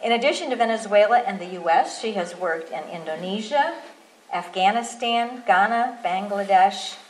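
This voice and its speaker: A woman's voice: medium pitch, moderate pace. Upbeat woman introducing a speaker. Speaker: event host. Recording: echoey hall with faint noise.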